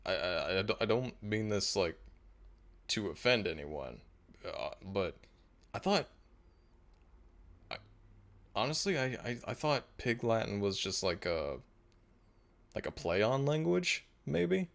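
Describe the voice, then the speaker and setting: Very deep-voiced laid-back male streamer. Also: sing-song, slow pace, creaky, pauses often. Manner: laid-back and musing, frequent restarts and soft laughs. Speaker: livestream host. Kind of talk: casual livestream chat, reading viewer comments.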